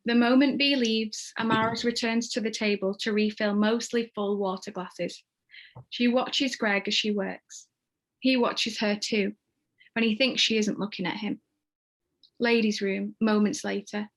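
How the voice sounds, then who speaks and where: A woman reads screenplay stage directions as narrator in a measured, descriptive manner. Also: mid-range voice, steady tone, rough recording.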